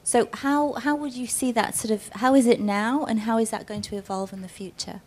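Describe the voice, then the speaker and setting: A woman moderating a panel, asking questions in a chatty, halting way with fillers. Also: a little high, steady tone, darkish tone. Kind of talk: panel discussion.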